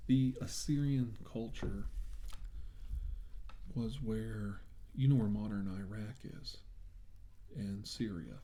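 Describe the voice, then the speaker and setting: A soft-spoken man doing an ASMR role-play as a clinician, reassuring the listener in a soothing, unhurried, conversational way. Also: fairly low pitch, slight background noise. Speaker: ASMR role-play performer acting as a clinician treating a patient.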